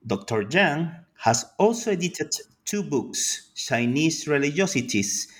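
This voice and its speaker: A man hosting an academic interview podcast, polite and formal, with some halting fillers. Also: fairly high pitch. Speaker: podcast host.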